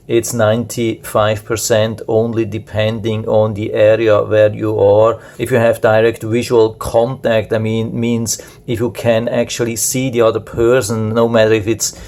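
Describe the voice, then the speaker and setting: A man's voice: on the low side, monotone, unhurried, hardly any pauses, a little mellow. A man giving a casual, unscripted product demonstration in a matter-of-fact, explanatory way. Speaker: product reviewer.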